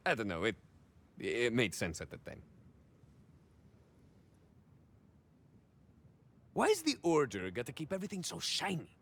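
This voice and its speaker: A man's voice: high-pitched, a bit bright, breathy edge, exaggerated voice. An actor playing a cocky, rambling cyborg ex-soldier in a video game, with a Mexican-American accent and bits of Spanish mixed into his hesitant, theatrical talk. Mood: musing, wry. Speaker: playable game character. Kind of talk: video game idle monologue acting.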